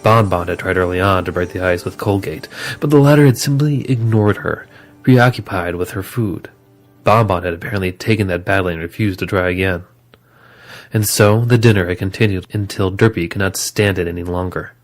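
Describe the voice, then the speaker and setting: Guy narrating an audio drama story, lowish voice, reading from a script. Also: a little fast, soft background music.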